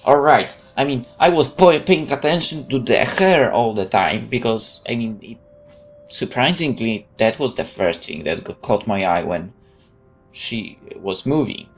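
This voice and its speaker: A woman's voice: very deep voice, a little slow, faint music behind. A woman, a native Polish speaker, reading game narration aloud and commenting casually as a streamer, often stumbling and correcting herself. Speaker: let's play streamer.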